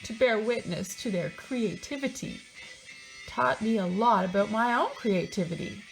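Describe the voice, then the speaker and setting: A woman's voice: mid-range voice, very animated, normal speed, creaky, faint music behind, rough recording. A woman reading a book aloud in a theatrical, mocking way, breaking into casual, sardonic asides of her own.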